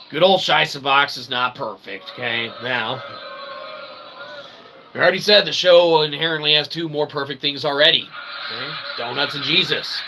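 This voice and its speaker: Man, creaky voice, comic live stream host, wordy mock-formal rambling, folksy check-ins, noisy recording. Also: medium pitch. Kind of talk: comic mock-sermon monologue. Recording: clearly noisy background.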